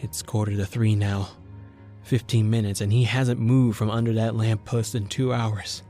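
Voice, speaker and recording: A man's voice: lowish voice, little pitch movement, moderate pace, warm tone, natural voice. An actor playing a frightened, wrought-up man with a gun in an old-time radio thriller, speaking in a melodramatic way. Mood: grim, desperate. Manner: overwrought, melodramatic. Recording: music bed behind the voice.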